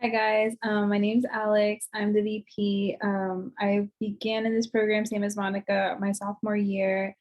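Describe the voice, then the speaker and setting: A woman's voice: medium pitch, flat delivery, moderate pace, few pauses, muffled recording. A young American woman, vice president of a student program, giving an upbeat, encouraging self-introduction. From United States. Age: 20s. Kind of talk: casual presentation to a student group.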